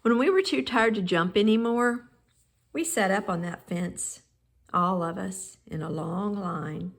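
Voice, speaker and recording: A woman reading a children's picture book aloud in measured, expressive phrases with pauses. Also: medium pitch, vocal fry. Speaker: storybook reader.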